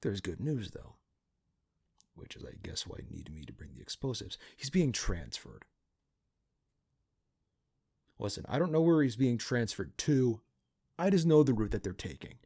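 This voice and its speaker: A man playing a cynical, pragmatic partner in crime to a vigilante in an ASMR roleplay, talking in a casual, halting, conspiratorial way. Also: mid-range voice, big pitch swings, vocal fry, dark tone, husky, heavily acted. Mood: matter-of-fact. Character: cynical, pragmatic male criminal accomplice to a vigilante.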